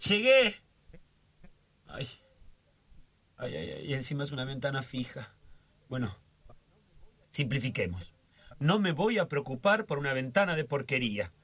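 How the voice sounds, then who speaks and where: Fretful, bright-voiced neurotic man. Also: medium pitch, sing-song, a little slow, pauses often, no room sound, heavily acted. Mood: exasperated. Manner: fretful, histrionic, muttering and exclaiming. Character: nervous, fussy, self-dramatizing man obsessed with order. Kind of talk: radio drama acting, talking to himself.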